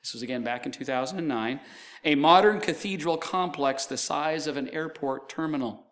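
A man's voice: on the high side, normal speed, fairly bright tone. A middle-aged man preaching a sermon, losing his voice, telling stories with casual asides. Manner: conversational storytelling with asides. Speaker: pastor.

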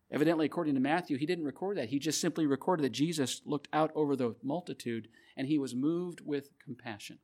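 Male preacher, earnest and measured, slightly higher voice. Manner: earnest, repeats scripture references, measured emphasis. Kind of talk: sermon.

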